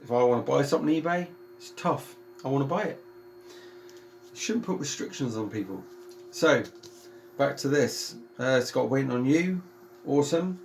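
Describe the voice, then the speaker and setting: Male, British accent, relaxed unboxing host. Calm, soothing delivery with a gravelly texture, chatty and rambling, with plenty of ums and restarts. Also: medium pitch, moderate pace, slight background noise. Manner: rambling and chatty, with many ums and self-corrections. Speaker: vinyl collector and channel host. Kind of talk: casual unboxing chat.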